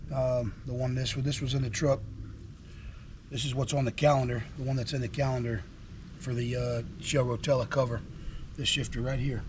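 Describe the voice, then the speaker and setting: Hoarse man, casual and eager show-and-tell, noisy outdoor take. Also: medium pitch, steady tone, normal speed. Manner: enthusiastic, repetitive, folksy. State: hoarse from illness. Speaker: trucking vlogger. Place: outdoors at a house.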